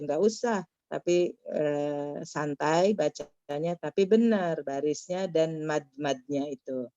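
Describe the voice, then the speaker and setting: A woman teaching Arabic in an online class, correcting a student's reading and drilling pronunciation slowly and firmly. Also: sits low, dark tone, no room sound, dull audio.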